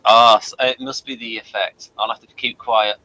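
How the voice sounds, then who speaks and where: A man hosting a casual episode review, speaking in a halting, hesitant way. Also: mid-range voice, steady tone, sharp tone, rough recording. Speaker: review host.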